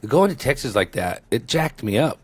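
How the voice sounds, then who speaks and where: A tired man co-hosting a casual podcast, his voice extra low and sleepy, chatting and joking with his partner. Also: sing-song, normal speed, slightly rough. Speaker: podcast co-host. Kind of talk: casual chat.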